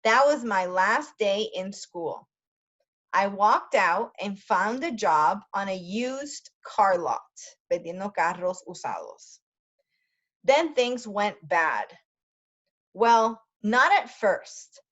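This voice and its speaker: A woman teacher reading a story aloud for language learners, pausing often to explain words in quick asides. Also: medium pitch, big pitch swings, fairly bright tone, highs cut off.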